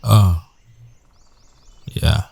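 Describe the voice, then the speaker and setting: A man playing a guarded, earnest werewolf ex-boyfriend in an intimate ASMR roleplay, speaking haltingly with long pauses. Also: a little mellow, no room sound. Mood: weary, shaken.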